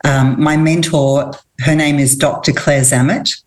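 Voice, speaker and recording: A middle-aged woman from Australia with a low voice speaks as a guest on an interview. She answers in an earnest, reflective way, pausing and restarting as she thinks. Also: steady tone. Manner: thoughtful, earnest, pauses and restarts. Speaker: guest, women's coach.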